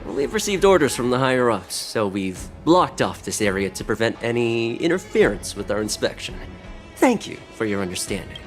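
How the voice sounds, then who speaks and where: A man's voice: mid-range voice, sing-song, normal speed, faint music behind, close to an everyday voice. A man playing an officious guard in a video game, speaking in a formal, brusque way. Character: curt, officious guard blocking the way. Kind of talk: scripted video game dialogue.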